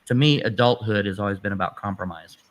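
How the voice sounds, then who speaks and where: A man's voice: lowish voice, fast talker. A man speaking conversationally as a guest in a political discussion.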